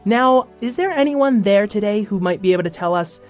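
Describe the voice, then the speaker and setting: A man's voice: high voice, lively intonation, brisk, soft background music. A man hosting a children's podcast, telling a story and interviewing guests in a conversational way.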